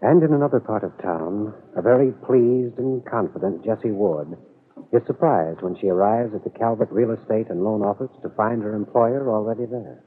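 A man with a husky voice giving dramatic scripted narration for a 1940s radio serial. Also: fairly low pitch, moderate pace, slight background noise. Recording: muffled old radio broadcast.

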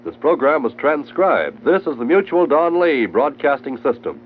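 A man's voice: fairly high pitch, sing-song, moderate pace, phone-quality sound. An American man working as a network announcer, reading in 1940s radio style. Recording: noisy band-limited old radio recording.

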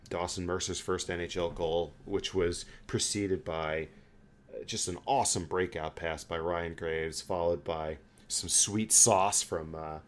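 A man hosting a podcast, chatting casually about sports in a halting, conversational way. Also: low-pitched. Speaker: podcast host.

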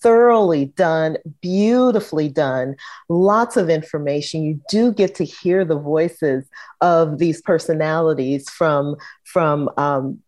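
A woman's voice: low voice, slow pace, darkish tone. A woman archivist and researcher speaking enthusiastically on a panel. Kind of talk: panel discussion.

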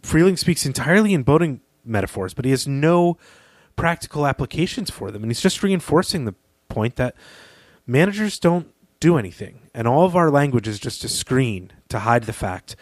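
A man's voice: mid-range voice, animated, moderate pace, no echo. A man co-hosting a podcast, talking through a literary analysis in an earnest, emphatic, conversational way. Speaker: podcast co-host.

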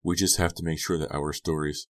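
Guy doing a snarky scornful teen girl, super low voice, audiobook dialogue. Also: flat delivery, fairly quick. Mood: certain. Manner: scornful, certain. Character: sharp-tongued, disdainful teenage girl.

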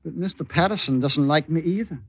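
High-voiced, earnest teenage cabin boy. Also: fairly quick, fairly bright tone, breathy edge, rough recording. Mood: innocent, sly. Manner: polite, earnest, pleading. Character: cherub-faced teenage cabin boy, meek yet coldly cunning. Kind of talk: old-time radio drama acting.

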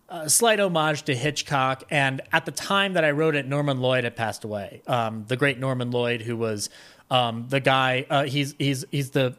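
A man's voice: medium pitch, a bit bright. Male podcast guest, mid-range voice, casual and rambling storyteller with a film buff's enthusiasm.

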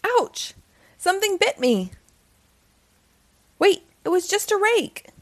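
A woman's voice: very high-pitched, sing-song, takes it slowly, pitched above the performer's own voice, full character voice. A woman voicing a frightened young sheep in a storybook reading, talking in squealing, panicky bursts. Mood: panicked.

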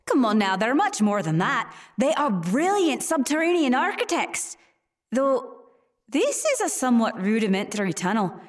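A woman playing an earnest, erudite and gentle creature in human form in a fantasy audio drama, speaking formally and precisely. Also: fairly high pitch, very animated, normal speed. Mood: scholarly, curious. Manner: formal, precise, fussily caring.

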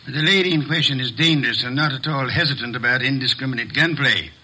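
Pompous, bright-voiced detective. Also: male voice, mid-range voice, fast talker, slightly rough, poor audio. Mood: measured, assured. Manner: formal, precise, pompous diction. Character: pompous, sedentary master detective, exacting and unruffled. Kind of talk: old-time radio detective drama acting.

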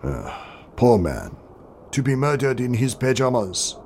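A man's voice: medium pitch, very animated, measured pace. A man playing a world-weary, sympathetic French police inspector in an audio drama. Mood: grave, curious.

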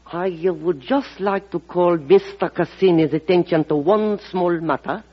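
An actor playing a courteous but insistent Japanese diplomat in a 1940s radio drama, speaking formally and haltingly. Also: male voice, high-pitched. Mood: polite, formal. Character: polite, insistent Japanese male diplomat at peace talks. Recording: dull old radio recording, faint noise.

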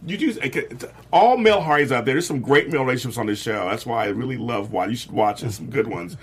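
A man's voice: mid-range voice, lively intonation, fast talker, slight background noise. A man co-hosting a casual fan chat, enthusiastic and rambling. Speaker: co-host.

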